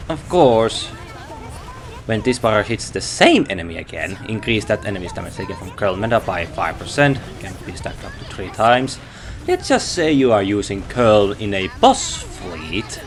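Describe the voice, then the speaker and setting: Slow, hesitant man. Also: fairly low pitch. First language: Finnish. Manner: hesitant, thinking aloud, correcting himself. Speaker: game reviewer. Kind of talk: unscripted game review.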